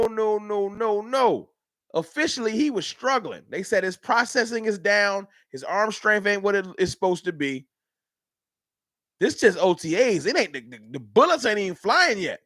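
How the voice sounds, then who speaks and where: Dude ranting at his chat, high voice jumping all over, dry close sound. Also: dry recording. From Dallas, Texas. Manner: animated, argumentative, heated ranting. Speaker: sports fan livestream host. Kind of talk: casual fan rant to live chat.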